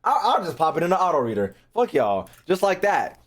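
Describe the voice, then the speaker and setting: Male streamer, American, higher-than-average voice with very lively swings in pitch; brash, sweary, repetitive banter with chat. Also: brisk. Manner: repeats phrases for emphasis. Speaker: streamer hosting a reaction video. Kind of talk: casual livestream chat to viewers.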